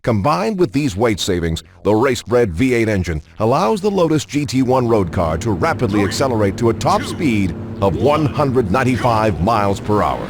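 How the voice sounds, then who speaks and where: A man reading scripted, informational showcase narration for a racing game in a polished, measured announcer manner. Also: medium pitch, big pitch swings.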